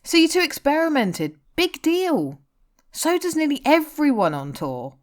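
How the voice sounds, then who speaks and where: A woman's voice: high-pitched, big pitch swings, unhurried, voice pushed up. A woman voicing a blunt, teasing but caring male rock singer in story dialogue. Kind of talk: audiobook-style character dialogue.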